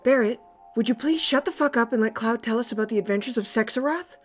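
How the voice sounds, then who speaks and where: A woman's voice: on the high side, quick pace, faint music behind, close to an everyday voice. An actress playing a smitten young woman in a game parody, feisty, defensive and easily flustered.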